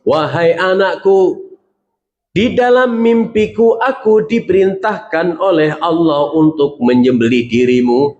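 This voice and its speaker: A man's voice: on the high side, expressive, unhurried, a little mellow, dull audio, a little hiss or hum. A middle-aged man, preaching a sermon as a story, acting out the voices he quotes and putting questions to the audience.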